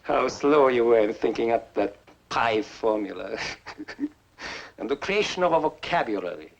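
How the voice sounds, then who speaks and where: An actor playing a bitter fugitive inventor who taunts theatrically, in a 1950s film style. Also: male voice, mid-range voice, animated, creaky. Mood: gloating, mocking. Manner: sardonic, theatrical, drawn-out taunting. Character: bitter, gloating fugitive inventor, a mocking villain. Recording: muffled, noisy 1950s film soundtrack.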